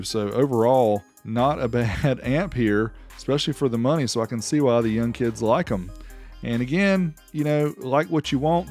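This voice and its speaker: Laid back sounding guy doing a gear review, mellow voice, bit of music behind him. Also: medium pitch. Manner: informal, plainspoken. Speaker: host reviewing car audio amplifiers. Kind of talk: casual review voice-over.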